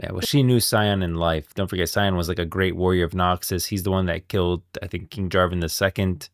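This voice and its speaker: A man's voice: low voice, fairly even intonation, fairly quick, no echo, clean studio sound. A man streaming casual game lore commentary, rambling and thinking aloud as he goes, often repeating himself. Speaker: streamer, host.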